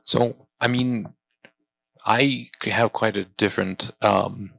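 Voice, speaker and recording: A man's voice: fairly low pitch, steady tone, takes it slowly, vocal fry, bright, forceful tone, dry recording, low-quality recording. A man from Slovakia, answering interview questions off the cuff in a hesitant way with frequent checking tags. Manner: hesitant, often tags phrases with "right". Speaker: film extra interviewed at an event.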